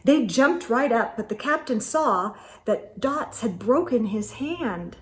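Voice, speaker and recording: A woman narrates a children's picture book in a fairly high voice. She takes her time over each phrase, with lively intonation throughout. The delivery is expressive storytime reading. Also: slow, slight background noise.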